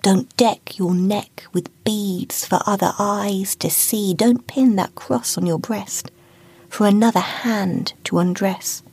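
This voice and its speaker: A woman voicing an old male sage who talks slowly and softly in a coaxing way. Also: medium pitch, fairly even intonation, husky, no room sound. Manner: slow, soft, coaxing.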